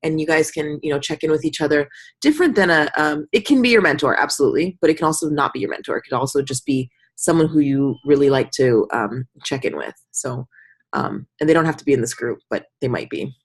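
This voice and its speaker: A woman with a low, husky voice leads an informal group coaching call. She speaks conversationally as a mentor, offering encouragement and slipping into short imagined examples of what people might say. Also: lively intonation, fast. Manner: conversational, encouraging, often acting out example remarks in the listener's voice.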